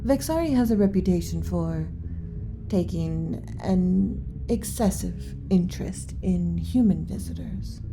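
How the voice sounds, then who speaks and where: A woman playing a gentle, submissive alien servant in an ASMR roleplay, speaking softly and breathily with hesitant, deferential pauses and some whispering. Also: on the low side. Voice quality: soft, breathy with whispered stretches. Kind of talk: ASMR roleplay, soft intimate delivery.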